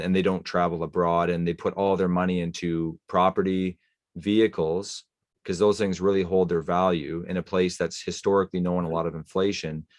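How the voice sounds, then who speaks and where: A man's voice: low-pitched, dry recording, clean studio sound. A man hosting a podcast, talking thoughtfully with lots of fillers and restarts. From Canada. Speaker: podcast host. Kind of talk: casual interview chat.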